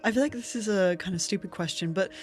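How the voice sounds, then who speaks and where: A woman's voice: mid-range voice, fast talker, darkish tone, soft background music. A woman interviewer chatting casually, with an informal, self-deprecating manner. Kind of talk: casual chat.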